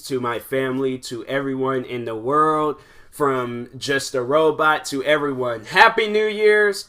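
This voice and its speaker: Bright-voiced young man rambling. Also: fairly high pitch. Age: 20s. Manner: rambling, animated, many fillers. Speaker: podcast host. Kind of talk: casual solo podcast chat.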